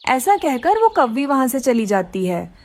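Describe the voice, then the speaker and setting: A woman's voice: high voice, sing-song, a little slow, no echo. A woman narrating a scripted children's story.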